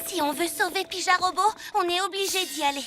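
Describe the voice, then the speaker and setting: A woman playing an earnest, defiant young child character in an animated children's series. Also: very high-pitched, fast. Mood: concerned, resolute. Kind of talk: animated children's cartoon acting. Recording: loud music behind the voice.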